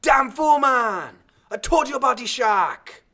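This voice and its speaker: Man putting on a loud, stubborn old islander. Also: very high voice, expressive, slow, gravelly, voice pushed up, full character voice. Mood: enraged. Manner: emphatic dialect speech, loud and drawn out.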